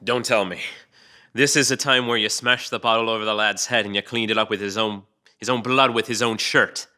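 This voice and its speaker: An actor playing a wry, needling interrogator in an audio drama, dry, taunting and colloquial in manner. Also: male voice, fairly low pitch, fairly even intonation, a little fast, bright, forceful tone. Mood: disgusted, taunting. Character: wry, needling male interrogator, mock-friendly. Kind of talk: audio drama table read.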